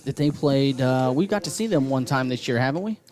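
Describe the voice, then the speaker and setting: A man calling high school football on local radio from a stadium press box, conversational and quick to agree with his partner. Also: animated, darkish tone. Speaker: radio play-by-play announcer.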